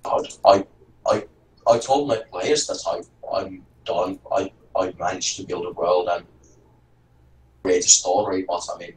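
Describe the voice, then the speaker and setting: A man who runs his own games, talking in a low-key, self-deprecating way. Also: steady tone, measured pace, roomy sound, far from the mic, low-quality recording. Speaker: game master.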